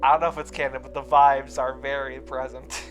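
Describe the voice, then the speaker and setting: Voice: mid-range voice, little pitch movement, fairly quick, soft background music. A person reading a visual novel aloud with friends, moving between narration and casual banter.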